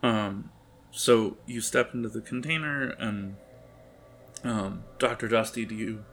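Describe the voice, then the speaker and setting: A man improvising narration as game master of a tabletop game, with a halting, descriptive delivery. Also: lowish voice, steady tone, a bit bright, soft background music.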